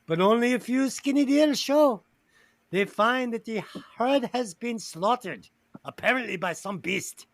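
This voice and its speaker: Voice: medium pitch, animated, a little slow. A podcast co-host in casual comedy movie-review chat, reading out plot summaries and swearing casually.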